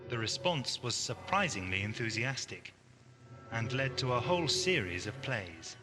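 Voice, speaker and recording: A man's voice: mid-range voice, big pitch swings, normal speed, music in the background. A man reading a scripted introduction as an announcer. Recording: faint hiss on old cassette.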